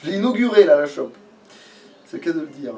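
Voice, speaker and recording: A man's voice: a little high, very animated, quick pace, mellow. Sweary man gaming, distant from the mic. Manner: sweary, grumbling. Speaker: let's play streamer playing the game. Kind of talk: casual gaming commentary. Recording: distant mic with background noise.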